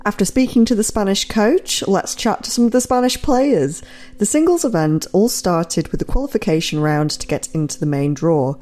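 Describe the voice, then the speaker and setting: A woman's voice: mid-range voice, animated, moderate pace, darkish tone. An English woman hosting a podcast, reading scripted narration between interviews. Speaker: podcast host.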